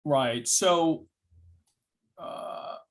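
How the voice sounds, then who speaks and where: A man presenting building plans at a review meeting, speaking informally in a hesitant, halting way as he explains and answers questions. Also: on the high side. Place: public board meeting.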